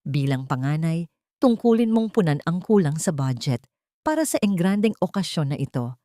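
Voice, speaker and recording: A woman's voice: low voice, sing-song, normal speed, dark tone, dry recording. A harsh, commanding rich mother, as a female narrator plays her in an audio drama. She is cold and greedy, scolding her daughter, and the sound is dry. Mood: cold, demanding. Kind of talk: Tagalog audio drama acting.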